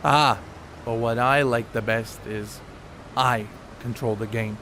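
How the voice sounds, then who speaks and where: A man on stage playing a thoughtful, college-educated 1930s ballplayer who speaks in precise, poetic phrases with quiet self-assurance. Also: medium pitch, steady tone, takes it slowly, slight background noise. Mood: quietly assured. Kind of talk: amateur stage play acting.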